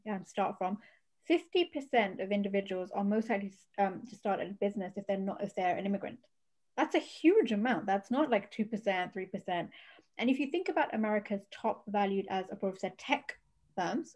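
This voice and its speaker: A woman's voice: mid-range voice, a little mellow. A woman speaking as a panelist, explaining her points earnestly. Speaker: panelist, venture fund founder. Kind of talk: panel discussion.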